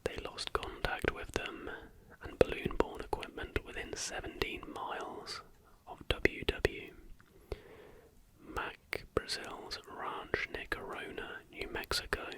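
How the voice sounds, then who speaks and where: Slow, hushed ASMR whisper. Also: rough recording. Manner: whispered, slow, unhurried delivery. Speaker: host of an ASMR channel. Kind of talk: ASMR, softly whispered reading of facts with casual asides.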